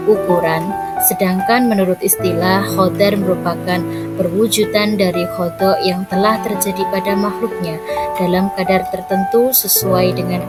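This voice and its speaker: A woman teaching a recorded lesson for schoolchildren, explaining step by step in a clear, measured way. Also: mid-range voice. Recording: loud background music.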